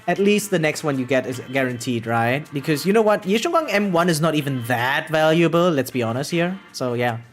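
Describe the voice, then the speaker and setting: An excitable gaming streamer chatting casually and slangily on a livestream, swearing freely. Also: medium pitch, brisk, few pauses. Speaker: variety game streamer. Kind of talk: casual livestream chat about gacha games. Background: background music.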